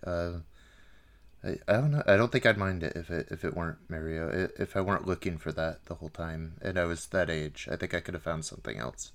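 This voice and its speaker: A man with a low voice joins a casual movie discussion as co-host. He speaks tentatively, weighing his opinions as he goes. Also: brisk. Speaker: podcast co-host.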